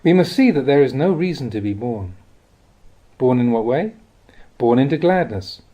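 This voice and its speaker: A man reading spiritual teachings as scripted narration, in a measured, instructive way with deliberate phrasing. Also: mid-range voice, sing-song, mellow. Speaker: reader of Buddhist teachings.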